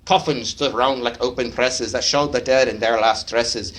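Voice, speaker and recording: A man giving a dramatic poetry recitation at a speaking club in a put-on Scottish brogue, swinging from loud declaiming to hushed low lines. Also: steady tone, normal speed. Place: club meeting room.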